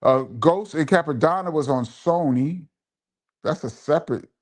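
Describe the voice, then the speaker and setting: A man's voice: a little high, moderate pace. Man hosting a casual live stream. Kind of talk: casual live chat, reading viewer comments and reminiscing.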